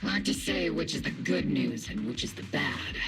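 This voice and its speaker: A man voicing a wry, businesslike military squad leader in a video game, briefing in a measured manner. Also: mid-range voice, expressive, music in the background, lightly acted.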